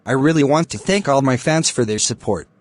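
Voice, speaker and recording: A man playing a courteous, easygoing young film star in a scripted cartoon dialogue for English learners, speaking in a deliberate way. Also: medium pitch, dry recording, lightly acted. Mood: pleasant, courteous.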